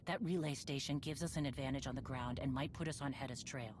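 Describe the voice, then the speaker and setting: A woman acting a stern, battle-hardened female warrior leader in a video game, commanding and measured in few words. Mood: stern, resolute. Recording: rumbling background noise.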